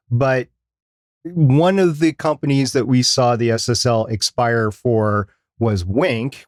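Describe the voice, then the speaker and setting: A man's voice: medium pitch, a little mellow, no room sound, studio quality. A man co-hosting a podcast in a casual, conversational discussion style. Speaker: podcast co-host.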